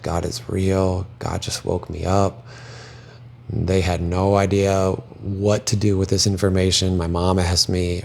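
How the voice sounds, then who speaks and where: Young guy grew up speaking french, low gravelly voice, faint hiss behind. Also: darkish tone. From Belgium. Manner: reflective, earnest storytelling. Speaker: speaker telling his own story. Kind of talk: personal testimony.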